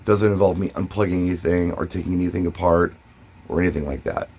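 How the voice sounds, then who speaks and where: A man speaking casually and emphatically, giving first-person advice and telling stories, with short pauses for effect and a husky voice. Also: sits low, a little mellow. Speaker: DJ and vlogger.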